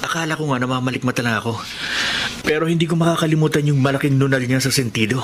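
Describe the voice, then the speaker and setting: A man's voice: medium pitch, fast talker, close to an everyday voice. An actor playing a concerned, insistent, gossipy male friend in a radio drama. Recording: noisy radio drama recording.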